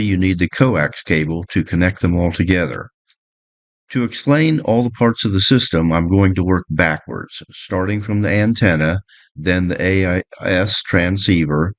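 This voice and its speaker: A man presenting a boat electronics guide in an instructional, explanatory narration. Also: low-pitched.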